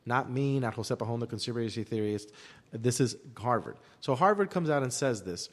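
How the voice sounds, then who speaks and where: A man's voice: medium pitch, expressive, normal speed. A man explaining his opinions at length in a discussion, emphatically repeating phrases for effect. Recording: long hall-like reverb.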